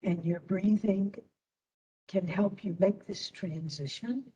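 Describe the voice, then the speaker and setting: A woman instructor leading a guided meditation in a soothing manner, with long pauses between short phrases. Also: mid-range voice, mellow, recorded from a distance, highs cut off.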